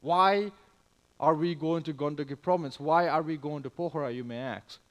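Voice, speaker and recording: Higher-pitched young man presenting to church. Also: normal speed, highs cut off. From Nepal. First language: Nepali. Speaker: missionary and church planter. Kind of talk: church missions presentation with slides. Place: speaking live to a church congregation.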